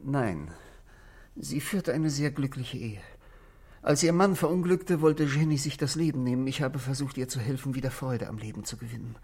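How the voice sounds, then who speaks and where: A man playing a bitter but controlled husband in a 1960s German radio drama, speaking in formal, deliberate phrases. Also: mid-range voice, darkish tone, breathy edge. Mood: earnest. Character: controlled, bitter married man confessing to police.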